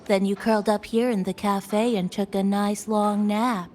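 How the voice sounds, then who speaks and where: A woman playing a gentle, attentive young female companion in a video game, with a soft, soothing and caring manner. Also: mid-range voice, flat delivery, unhurried, slight background noise, natural voice. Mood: calm, tender. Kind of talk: video game character dialogue. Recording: voice over game background music.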